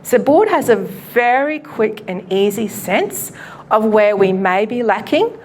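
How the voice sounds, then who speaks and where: A woman pastor giving a live presentation to an audience at a launch event, loud and chatty, often laughing and poking fun at herself, her microphone cutting in and out. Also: mid-range voice, sing-song, unhurried, a little hiss or hum. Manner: loud, chatty and self-mocking; laughs often while talking. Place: event venue.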